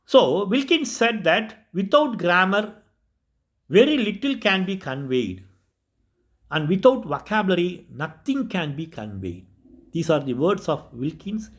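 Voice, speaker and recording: A man from India with a Tamil-flavoured Indian English accent lectures on a recorded lesson. His voice sits high for a man. He explains patiently and circles back, repeating and correcting himself as he goes. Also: very animated, unhurried. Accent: Indian English, Tamil Nadu. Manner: repetitive, self-correcting, explanatory.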